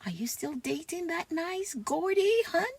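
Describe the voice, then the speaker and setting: A woman's voice: very high voice, animated, a little slow, creaky, darkish tone, no echo, pitched above the performer's own voice, exaggerated voice. A woman voicing a kindly, dry-witted old grandmother from a comic strip in a children's read-aloud. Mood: warm, welcoming.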